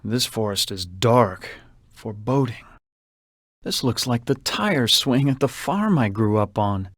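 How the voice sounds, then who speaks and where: A suave, self-regarding con man in a video game. He has a mid-pitched voice and thinks aloud in a theatrical, brooding way, wary of what surrounds him. The sound is close and dry. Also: expressive, measured pace, no echo. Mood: wary, suspicious. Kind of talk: video game character monologue.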